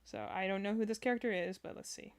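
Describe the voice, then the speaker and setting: A woman gives casual livestream commentary as a reaction host. Her voice is mid-pitched. She rambles and thinks out loud as she goes. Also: fast talker, smooth.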